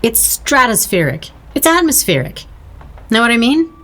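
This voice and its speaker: Wry, animated, nudging friend. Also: female voice, a little high, normal speed, noisy background. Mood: sardonic. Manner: dryly sarcastic, coaxing. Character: painter's blunt, wry, nudging woman friend. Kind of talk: audio drama acting.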